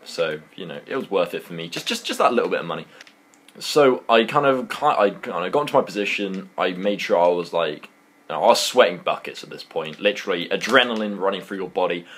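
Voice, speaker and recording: A young man with a British accent and a low-pitched voice tells an anecdote to his viewers. His delivery is casual and animated, full of slang, and it rambles along like a chat with friends. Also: brisk. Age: young adult. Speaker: YouTuber telling a story.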